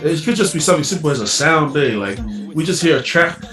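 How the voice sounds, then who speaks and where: A man's voice: fairly high pitch, lively intonation, fast, fairly bright tone, faint music behind. Laid-back laughing man, roomy distant mic. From New Zealand. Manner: laid-back, laughs often, many fillers. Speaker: DJ and music producer. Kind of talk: casual interview chat.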